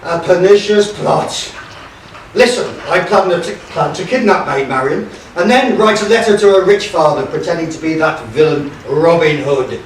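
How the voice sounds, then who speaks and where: A man's voice: a little high, lively intonation, moderate pace, natural voice. A man acting a scheming, pompous sheriff villain in a theatrical pantomime style. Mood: gloating scheming. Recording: echoey hall, distant mic, faint noise.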